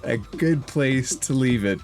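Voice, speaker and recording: A man running a tabletop game as dungeon master, narrating the scene with relaxed, unhurried delivery and dry asides. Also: mid-range voice, faint music behind.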